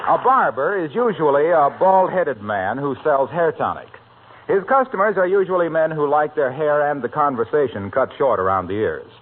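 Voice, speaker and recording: A man's voice: on the high side, big pitch swings, normal speed. An American man announcing and reading commercials in polished, emphatic 1940s radio style. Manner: polished, emphatic salesman delivery. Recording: narrow, slightly noisy old radio broadcast.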